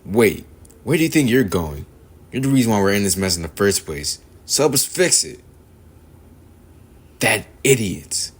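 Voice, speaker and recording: A man's voice: fairly low pitch, big pitch swings, normal speed, long pauses, slightly rough, pitched above the performer's own voice. A man voicing a blunt, grudgingly helpful anime fighter in a casual, informal delivery. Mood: annoyed. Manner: casual scolding, repeated takes.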